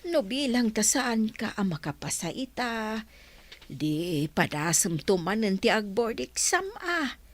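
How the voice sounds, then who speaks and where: A woman's voice: medium pitch, sing-song, a little slow, pitched above the performer's own voice. A woman voicing a blunt, fretting elderly grandmother with a Filipino accent in an audio drama. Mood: fretful, blunt.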